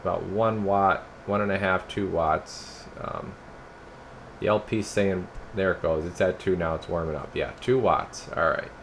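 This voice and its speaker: A man's voice: low voice, fairly even intonation, a little slow, a bit bright, a little hiss or hum. A man giving a casual hands-on product demo in an informal, rambling way, talking to viewers as guys. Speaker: radio repairer and product reviewer.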